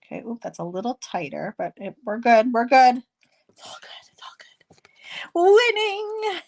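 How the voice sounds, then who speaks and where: A woman hosting a casual card-making tutorial, chatty and self-deprecating, slightly hoarse with a stuffy nose. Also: on the high side, huge pitch swings, unhurried, darkish tone.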